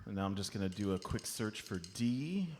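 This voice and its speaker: A man's voice: fairly low pitch, lively intonation, brisk, very noisy, natural voice. A man playing an earnest, rule-bound master elf with a formal, precise way of talking, in a comedy radio play. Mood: officious, matter-of-fact. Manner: formal, precise, bureaucratic recitation.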